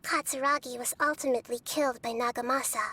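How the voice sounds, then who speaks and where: A woman voicing a serene, wise female guide in a video game, telling history in a measured, formal way. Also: high voice, fairly even intonation, bright, forceful tone, slight background noise. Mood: solemn. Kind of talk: composed scripted exposition, game voice acting.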